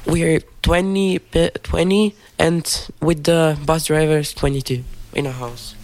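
A woman's voice: sits low, steady tone, a little slow, a little mellow, slight background noise. A young woman whose first language is Macedonian, answering interview questions in English, hesitant and searching for words. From North Macedonia.

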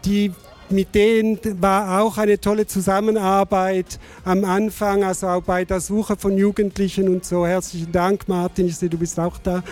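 A man, an organizer giving a formal thank-you speech at an exhibition opening, halting often to find his words. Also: high-pitched, flat delivery, a little mellow, faint music behind. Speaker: project organizer.

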